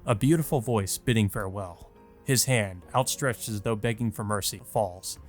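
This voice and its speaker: A man's voice: lowish voice, normal speed, soft background music. A man hosting a let's play, reading a visual novel aloud and chatting about it in a casual, rambling way full of fillers. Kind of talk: visual novel read-through with commentary.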